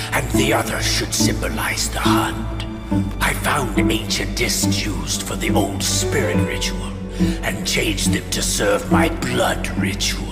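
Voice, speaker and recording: A man's voice: lowish voice, creaky. A man playing a scheming, grandiose video game villain with a theatrical, menacing delivery and messianic zeal. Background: music.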